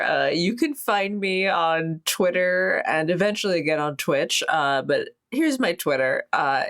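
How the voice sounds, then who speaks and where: A woman with a distinctly low-pitched voice takes part in a tabletop game. She speaks in casual, conversational turns as one of the players. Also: a bit bright. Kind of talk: casual chat.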